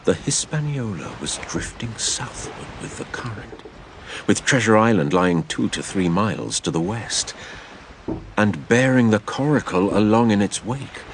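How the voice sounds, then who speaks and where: A very low-voiced man narrates an adventure tale with a heavy creak. His theatrical, measured delivery moves widely in pitch, over clearly audible background noise. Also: animated. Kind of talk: dramatised storybook narration.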